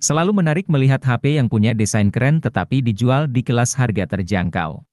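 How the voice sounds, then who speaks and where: A man's voice: medium pitch, lively intonation, brisk, darkish tone, no room sound, clean studio sound. A man reads a formal, informative scripted voice-over for a gadget review. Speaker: narrator of gadget review videos. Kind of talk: scripted narration.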